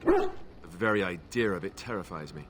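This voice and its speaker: Over clearly noisy game ambience comes an awkward young warrior from a fantasy game, a reluctant royal heir. His voice sits a little low, and he speaks earnestly, hesitant and apologetic. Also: expressive, normal speed. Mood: anguished, apologetic. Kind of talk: fantasy video game acting.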